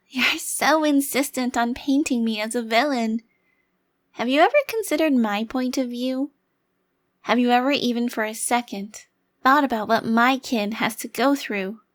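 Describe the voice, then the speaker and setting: A woman's voice: fairly high pitch, measured pace, natural voice. A woman playing a haughty, centuries-old vampire crime lord trapped in a child's body, speaking formally in an ASMR roleplay. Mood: defiant, pleading.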